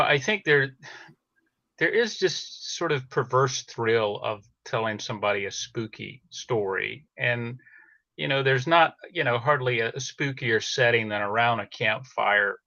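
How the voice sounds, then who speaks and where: A man speaking as a podcast guest in a casual, explanatory chat, with halting, hesitant phrasing. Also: mid-range voice, vocal fry, bright, forceful tone, no echo, dull audio.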